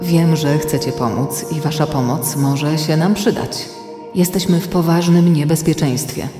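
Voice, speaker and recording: A woman playing a calm, watchful artificial intelligence mentor in a children's radio play, serious and deliberate, giving warnings. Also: sits low. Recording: echoey long reverb, music underneath.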